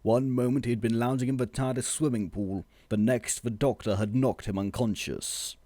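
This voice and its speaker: A man reading a scripted comic story as narrator, measured and deadpan. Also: medium pitch, dry recording.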